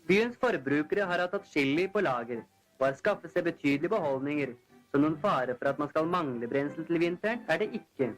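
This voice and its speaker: Higher-voiced man, formal vintage newsreel narrator. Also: animated, rough recording. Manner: formal, declamatory announcer delivery. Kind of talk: scripted 1940s newsreel narration. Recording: vintage 1940s film soundtrack, music behind. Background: newsreel background music.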